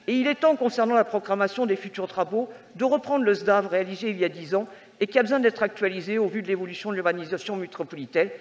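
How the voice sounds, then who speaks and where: A woman's voice: mid-range voice, fast. A woman reading a prepared, formal and critical political speech as a councillor at a council meeting. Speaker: metropolitan councillor. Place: large indoor council chamber. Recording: reverberant council hall sound.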